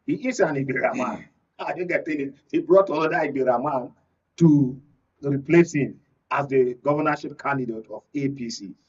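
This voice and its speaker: A man's voice: medium pitch, normal speed, husky. A man with a Nigerian accent speaking as a guest analyst in a political panel discussion, explaining at length and repeating phrases for emphasis. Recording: dull, distant studio sound.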